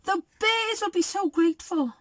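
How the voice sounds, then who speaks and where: A woman's voice: extremely high pitch, moderate pace, dry recording, studio quality, voice pushed up. A woman voicing a fussy, self-satisfied older lady in a storybook, with a gushing, exclamatory delivery. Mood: blissful. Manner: gushing, exclamatory, pleased with herself.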